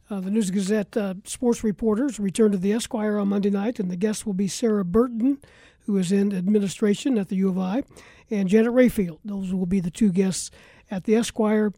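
A man's voice: sits high, steady tone, moderate pace, mellow, no echo. A man hosting a sports talk radio show in a conversational style.

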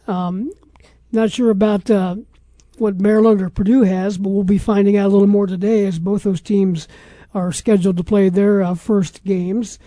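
Sports radio host, American man, relaxed dark tone, dry close-mic studio sound, casual talk. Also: sits high, normal speed, no room sound.

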